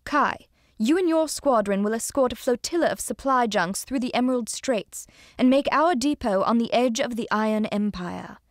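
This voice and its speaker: An actress playing a grave, determined noblewoman war leader in a video game, solemn and measured. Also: fairly high pitch, lively intonation, no echo, studio quality, close to an everyday voice. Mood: commanding. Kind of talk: video game cutscene acting, dramatic briefing.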